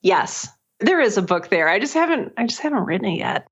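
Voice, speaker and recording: A woman's voice: mid-range voice, sing-song, fairly quick, slightly rough, muffled recording. A woman speaking as a podcast guest, explaining her own work in an earnest, chatty, conversational way. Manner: earnest, chatty, often laughs while talking.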